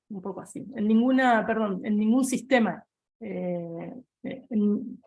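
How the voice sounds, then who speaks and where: Una mujer argentina de mediana edad, profesora de seminario, que cuenta una experiencia personal a sus alumnos de forma conversacional, con muchas pausas y reformulaciones. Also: female voice, a little high, a little mellow. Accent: Argentine Spanish. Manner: conversational, many restarts and fillers, addresses listeners directly. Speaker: seminar lecturer. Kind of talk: personal anecdote in an online lecture.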